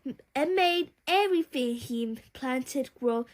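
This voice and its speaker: A woman reading a children's bedtime story aloud, haltingly, with small stumbles and repeated words. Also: high voice, animated.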